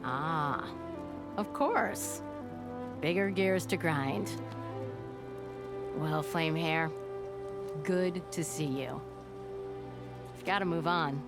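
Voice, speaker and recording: A woman's voice: sits low, extremely slow, pauses often, over loud music, heavily acted. An actress playing a brash woman smith in a video game, talking in a wry, folksy, sardonic way. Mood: wistful. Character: brash, wry woman smith, beer-loving old friend. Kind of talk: video game cutscene acting.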